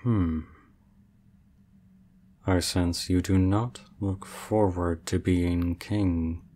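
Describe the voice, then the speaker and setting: A man voicing an ancient, aloof male dragon in human form, speaking in a formal, measured and reserved way for an ASMR roleplay. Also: low voice, fairly even intonation, warm tone, slight background noise. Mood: calm, aloof.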